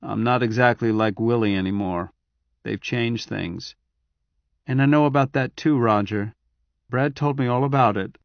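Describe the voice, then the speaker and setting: A man voicing an adult woman, an eager, affectionate wife who chatters quickly, in a storybook reading. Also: medium pitch, no echo, lo-fi sound, lightly acted. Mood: earnest.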